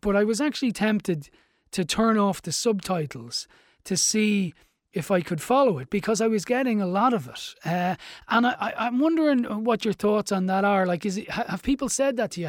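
A middle-aged man interviews his guest in a chatty, enthusiastic way. His voice is high for a man, and the recording sounds dry and close, with no room around it. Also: lively intonation, moderate pace, no echo. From Ireland. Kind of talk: conversational interview.

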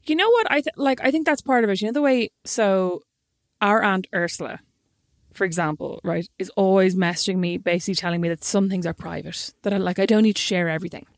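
A woman co-hosting a casual chat with her sister, telling stories quickly and teasing. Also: mid-range voice, dry recording, studio quality. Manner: teasing, rapid storytelling. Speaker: podcast co-host.

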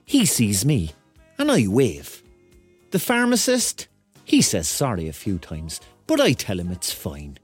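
A man's voice: fairly low pitch, wildly swooping pitch, a little slow, slightly rough, no echo, faint music behind, full character voice. An actor playing a touchy, defensive man of thirty in a radio drama, with a Cork accent and an indignant, rambling, repetitive manner. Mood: wry, casual. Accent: Cork Irish. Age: 30s. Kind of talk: radio drama monologue and dialogue.

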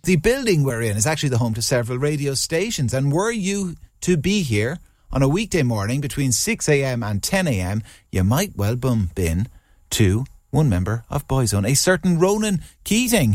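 A man's voice: on the high side, big pitch swings, no room sound. An Irish man hosting a radio interview in a studio, friendly and conversational. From Ireland. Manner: friendly, conversational, quick laughs. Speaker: radio host.

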